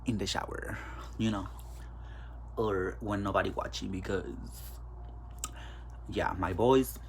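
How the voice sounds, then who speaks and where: Low, slow-talking man with a Mexican accent. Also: fairly even intonation, gravelly, a little hiss or hum, poor audio. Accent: Mexican Spanish. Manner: loose, profane, chatty, rambling. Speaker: podcast host. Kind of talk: casual solo podcast chat.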